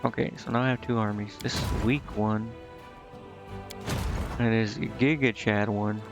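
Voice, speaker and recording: A man's voice: fairly low pitch, fairly even intonation, moderate pace, gravelly. A man doing casual live game commentary, thinking aloud to himself in slangy, indecisive mutters. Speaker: game streamer. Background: game music.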